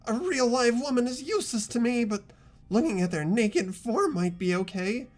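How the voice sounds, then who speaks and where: A performer voicing a creepy, nerdy male figurine artist in a fan-dubbed game, whiny and stammering with drawn-out words. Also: mid-range voice, expressive, lightly acted. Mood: flustered longing.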